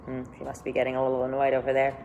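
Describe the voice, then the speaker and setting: A woman teacher reading a children's novel aloud expressively and pausing to think aloud for her listeners. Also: very deep voice, monotone, dark tone, dull audio, slight background noise. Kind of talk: read-aloud storytelling with commentary.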